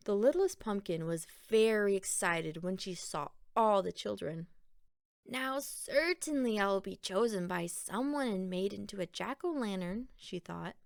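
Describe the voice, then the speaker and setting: A woman narrating a children's picture book aloud in a deliberate, expressive storytelling manner. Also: mid-range voice, no room sound, studio quality.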